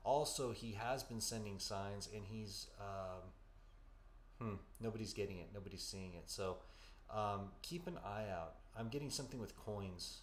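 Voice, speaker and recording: A man with a fairly low voice talks through psychic impressions, pausing often with fillers before reassuring his listeners. The sound is roomy and echoey, with a faint steady noise underneath. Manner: hesitant, filler-heavy, reassuring. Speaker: psychic medium podcast co-host. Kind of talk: live-stream psychic readings and chat. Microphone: studio mic.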